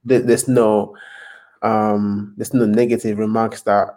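A man from Nigeria, a podcast guest, talking thoughtfully with many pauses and restarts. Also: on the low side, little pitch movement, dark tone. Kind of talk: casual interview chat.

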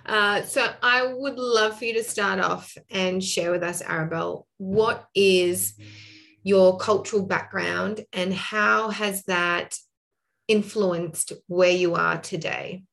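A woman's voice: mid-range voice, fairly even intonation, takes it slowly, gravelly, a bit bright. A woman speaking as the host of a podcast interview.

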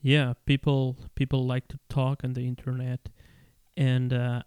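Eager podcast host, stammering and dry. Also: male voice, mid-range voice, completely flat delivery, a little slow, a little mellow, clean tone, no room sound. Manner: enthusiastic, effusive praise, stammering restarts. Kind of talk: podcast interview.

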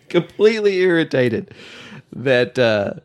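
A man's voice: mid-range voice, sing-song, takes it slowly. A man from Oklahoma with an Oklahoma accent, co-hosting a casual podcast and rambling in mock irritation. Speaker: podcast co-host.

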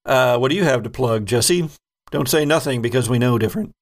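A man's voice: medium pitch, animated, fairly quick. A man hosting an online tabletop game podcast, easygoing as he steers the crew's plugs before play. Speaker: game master and host.

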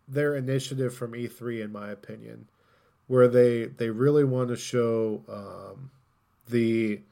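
A man's voice: medium pitch, gravelly, dark tone. A man co-hosting a podcast, chatting casually about gaming news in an informal, rambling way. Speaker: podcast host.

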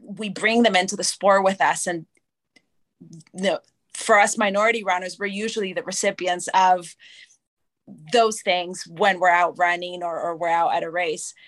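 A woman's voice: on the low side, fairly even intonation, normal speed. Canadian woman, thoughtful panel guest. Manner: thoughtful, restarts words, light laughs. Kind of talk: casual panel discussion.

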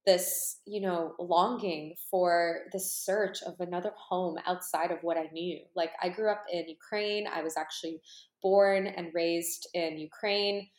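A woman's voice: fairly low pitch, fairly even intonation, unhurried, darkish tone. A woman in her thirties from Canada, a life coach and retreat host, talking earnestly to her followers and rambling with lots of "you know" and "like". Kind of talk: personal monologue to followers. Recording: roomy echo.

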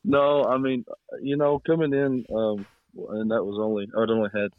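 A man's voice: mid-range voice, level tone, normal speed. A man of thirty, chatting modestly and politely as a coach interviewed over the phone. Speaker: high school football coach, interview guest. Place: on a phone call. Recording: muffled, roomy phone line.

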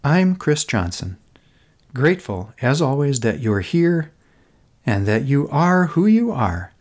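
Reflective American man, expressively varied pitch. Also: big pitch swings. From Minnesota, United States. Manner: contemplative, gentle, deliberate phrasing with emphatic repetition. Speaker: podcast host. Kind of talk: reflective scripted narration.

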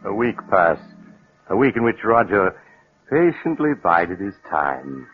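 A man playing the dramatic, deliberate storyteller of a 1940s radio mystery. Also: sits low, big pitch swings. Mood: knowing, suspenseful. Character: ominous male storyteller of a mystery thriller. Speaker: narrator. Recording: old tinny radio recording with music.

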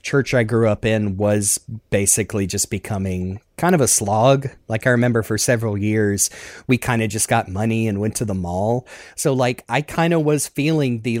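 A man's voice: medium pitch, a little mellow, dry recording, studio quality. A man chatting reflectively as a podcast panelist, earnest and self-deprecating, often feeling for his words in a rambling way. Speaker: podcast panelist, writer of a fan radio play. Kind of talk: reflective casual chat.

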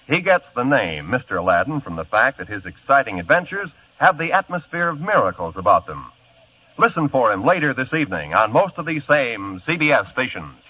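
A man's voice: mid-range voice, a little hiss or hum. A male announcer reading a station promo and show introduction in a polished old-time radio style. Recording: tinny old radio broadcast.

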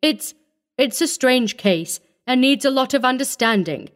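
A woman's voice: high voice, lively intonation, measured pace, voice pushed up. Woman as a weary, cautioning orphanage matron. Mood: doubtful, cautioning.

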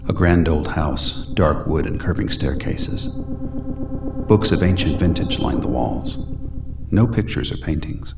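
A man's voice: very deep voice, big pitch swings, unhurried, vocal fry, background noise. A man reading dramatic scripted narration for a radio play.

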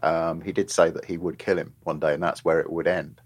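Very low-pitched male podcast guest, loose and rambling delivery, stops and restarts often. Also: level tone, brisk. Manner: rambling, many restarts and asides. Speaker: podcast guest, film journalist. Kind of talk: casual podcast discussion.

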